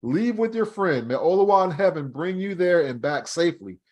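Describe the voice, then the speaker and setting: A man voicing an elderly devout father who blesses his son and calms his wife. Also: sits high, normal speed, pitched above the performer's own voice. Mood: reassuring.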